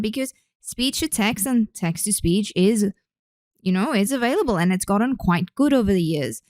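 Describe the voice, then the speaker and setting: A woman's voice: medium pitch, darkish tone, no room sound. A young woman, a speech pathologist and podcast host, chatting enthusiastically with many fillers, then explaining her work. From Australia. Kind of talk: casual chat and explanatory talk.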